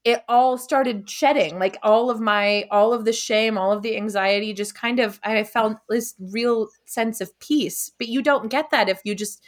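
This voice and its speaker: Slightly high-voiced woman, reflective and casual. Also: normal speed, few pauses. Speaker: podcast participant. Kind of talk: casual, reflective personal sharing.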